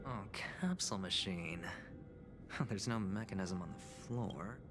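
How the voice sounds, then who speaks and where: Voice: sits low, slow. An actor playing a curious, wry adventurer musing aloud in scripted video game dialogue. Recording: game music behind the voice.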